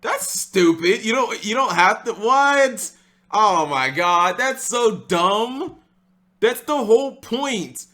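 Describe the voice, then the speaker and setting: A male streamer reacts off the cuff in a high, animated voice. His delivery is bright and forceful, full of exclamations and repeated phrases. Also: takes it slowly. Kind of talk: casual live reaction commentary.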